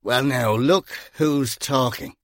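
A man's voice: medium pitch, dry recording, clean studio sound, natural voice. A man voicing a very drunk, bitter man who slurs his words and talks sarcastically. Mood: drunken. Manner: slurred, sarcastic, drawn-out. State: drunk, slurring.

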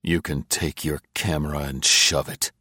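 A man as a low, quiet, tough American agent. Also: fairly even intonation, unhurried, no room sound, clean studio sound, exaggerated voice. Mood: cool. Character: tough, cool-headed male American agent.

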